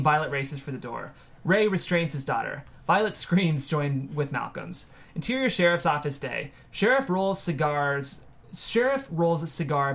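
A man's voice: on the high side, a bit bright. A man narrating scene headings and stage directions from a script at a table read.

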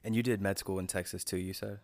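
A young man from North Carolina hosting a podcast, chatting in a relaxed, joking way with his guest. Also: low-pitched, fast talker, dry recording. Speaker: podcast host. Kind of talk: casual interview chat.

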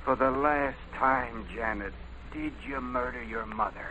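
An actor playing a gruff, impatient police lieutenant in a 1940s style radio drama, on an old noisy recording. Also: male voice, medium pitch, measured pace, sharp tone. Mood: exasperated, pressing. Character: exasperated hard-nosed male police lieutenant interrogating a suspect.

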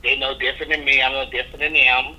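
Sassy, outspoken man with a bright voice. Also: mid-range voice, little pitch movement, quick pace. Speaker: interview guest, drag performer. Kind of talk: casual interview chat. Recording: dull lo-fi recording.

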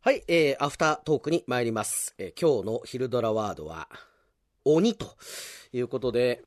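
A man's voice: medium pitch, expressive, moderate pace, dry recording, clean studio sound. A man hosting a radio show, talking casually and chattily with self-deprecating asides and reading listener mail. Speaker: radio announcer and drama show host. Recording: music bed under the voice.

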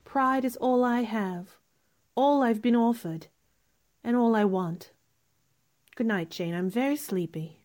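A woman playing a proud, sharp-tongued young gentlewoman in a radio drama, with formal, cool, dramatic delivery. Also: fairly high pitch, takes it slowly, long pauses. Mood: wistful, guarded.

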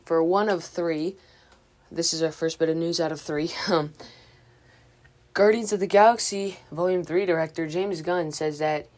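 A woman's voice: low-pitched, a little mellow. A woman hosting a podcast in a casual, informal way, hesitantly thinking aloud as she goes. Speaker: podcast host. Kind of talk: casual movie news chat.

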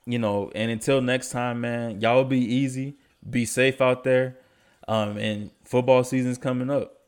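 A man's voice: mid-range voice, steady tone, unhurried, clean tone. Stuffy-nosed laid-back male podcast host. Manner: laid-back, slangy, enthusiastic about stats. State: stuffy nose from allergies. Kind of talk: casual sports podcast talk.

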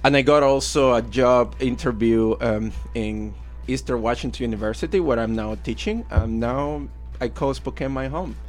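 A man's voice: medium pitch, moderate pace, hardly any pauses, faint music behind. A man in his thirties from Venezuela with a Venezuelan Spanish accent, talking through his story as a guest on a radio interview, full of fillers and small laughs. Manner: friendly and reflective, with frequent fillers and laughs. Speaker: guest artist. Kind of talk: casual interview.

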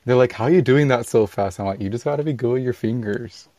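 A man chatting casually as a podcast host, with a flat, monotone delivery. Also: mid-range voice, fast, no echo. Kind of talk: casual chat. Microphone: remote call.

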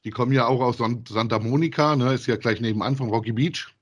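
A man's voice: medium pitch, fast talker. A man chatting casually as he co-hosts a review of audio dramas.

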